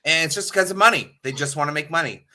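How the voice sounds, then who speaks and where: A man's voice: on the high side, fast, sharp tone. A man talking casually and bluntly as a panelist on a talk show, opinionated and freely swearing. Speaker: podcast panelist.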